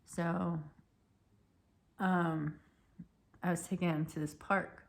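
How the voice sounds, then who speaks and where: A woman in her thirties telling a personal story in a rambling, halting way, her voice hoarse and cracking. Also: on the low side, flat delivery. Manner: rambling, halting, many restarts and fillers. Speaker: vlogger.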